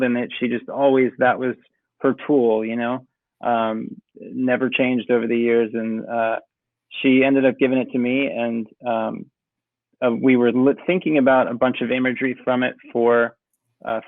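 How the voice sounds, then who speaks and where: Man, gravelly voice, tinny phone-like sound, dry, relaxed rambling talk. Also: mid-range voice, no room sound. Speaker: music producer. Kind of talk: casual interview chat. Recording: tinny phone-like call audio.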